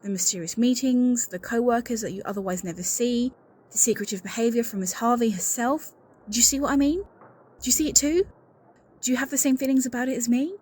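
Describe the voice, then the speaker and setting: A woman's voice: on the high side, a little fast, darkish tone, slightly rough, low-quality recording. A woman playing an anxious, suspicious secretary in an audio roleplay, speaking in an earnest, confiding and insistent way. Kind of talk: scripted audio roleplay acting, one-sided conversation.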